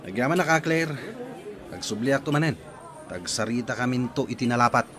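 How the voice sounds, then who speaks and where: A man's voice: mid-range voice, lively intonation, a little fast, crowd noise, close to an everyday voice. A man acting an earnest husband and devoted father in an Ilocano audio drama. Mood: insistent. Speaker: actor in a drama.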